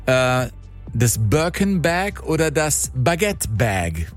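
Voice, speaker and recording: A man hosting a radio phone-in quiz in a lively game show style. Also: medium pitch, slow. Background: music bed.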